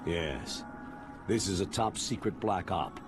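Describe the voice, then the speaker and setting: Older army colonel, lowish voice, stern n measured, game briefing w music behind. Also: male voice, animated. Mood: stern, businesslike. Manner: authoritative, measured, instructional. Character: stern older male military commander briefing his agent. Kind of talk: video game voice acting, mission briefing. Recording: music under the voice.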